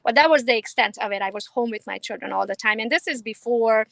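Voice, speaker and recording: A woman from Romania speaks with a Romanian accent, in a quick, emphatic, confident way. Her voice sits a little high and sounds bright and forceful. The recording is dry, with no room sound. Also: gravelly, no room sound. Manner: confident, fast, emphatic, laughs easily. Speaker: realtor guest. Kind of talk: casual interview chat.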